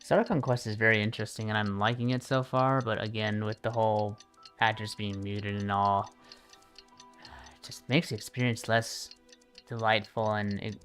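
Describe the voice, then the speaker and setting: A man commentating casually on a game livestream in a low-key way, pausing and thinking aloud. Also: steady tone, fairly bright tone. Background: game music behind the voice.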